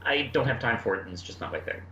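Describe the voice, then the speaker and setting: A middle-aged man co-hosting a podcast, giving casual opinions in a halting, easygoing way. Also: medium pitch, animated. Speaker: podcast co-host. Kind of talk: casual review discussion. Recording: muffled, echoey lo-fi connection, faint noise.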